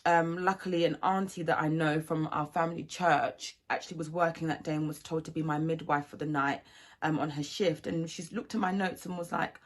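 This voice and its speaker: A young mother from Ghana recounts her experience in a low, earnest voice. She talks steadily and pauses on soft ums as she goes. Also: fairly even intonation. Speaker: mother sharing her birth story. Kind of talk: personal testimony.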